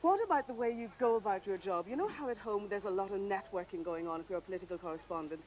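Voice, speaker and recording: A woman presenting a television piece and interviewing a guest in a brisk, friendly way. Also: medium pitch, animated, a little mellow, slight background noise.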